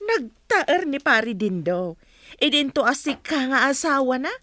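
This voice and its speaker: Mocking village vixen, bright piercing high voice, vain and catty, radio drama. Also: female voice, animated, a little slow, no room sound. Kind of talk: Philippine radio drama acting.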